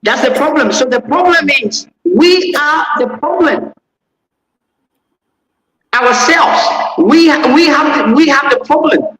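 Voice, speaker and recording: A man's voice: very high voice, expressive, slow, fairly bright tone, a little hiss or hum. A man joining a livestream as a guest, speaking earnestly and repeating his points. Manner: repetitive, earnest, restarts often. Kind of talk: casual livestream discussion. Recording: echoey remote call from far off.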